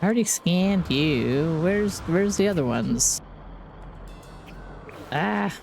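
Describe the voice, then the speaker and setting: A woman live-streaming a game with casual, playful commentary, chattering to herself, her voice deepened by being sick. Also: expressive, slow pace, creaky, darkish tone, slight background noise.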